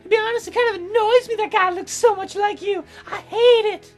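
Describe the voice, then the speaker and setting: A man voicing a sharp-tongued, bashful sick young girl in a visual novel, curt, pouty and easily flustered. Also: very high voice, fairly even intonation, brisk, voice pushed up. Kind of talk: visual novel character read aloud. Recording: soft music behind the voice.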